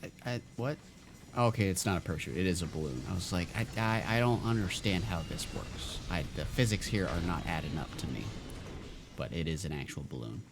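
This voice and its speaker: A man's voice: deep voice, expressive, fairly quick, music in the background. A man casually commenting while he streams a video game, rambling with many stammers and fillers.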